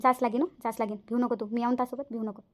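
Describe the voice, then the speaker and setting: Actress doing bossy nosy village lady detective, cartoon, varhadi marathi, high voice, talks super fast. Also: little pitch movement, close to an everyday voice. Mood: bossy, urgent. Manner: urgent, commanding. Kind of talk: cartoon character dialogue.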